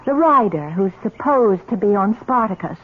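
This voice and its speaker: An actress playing an affectionate but doubting young fiancée in an old-time radio drama, solicitous and probing. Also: female voice, medium pitch, expressive, moderate pace, lightly acted. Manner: solicitous, questioning. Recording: tinny noisy old radio recording.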